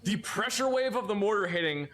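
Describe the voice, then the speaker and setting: A man casually telling military anecdotes, animated, with laughing asides. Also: high voice, brisk. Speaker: podcast guest, military veteran. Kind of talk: casual storytelling.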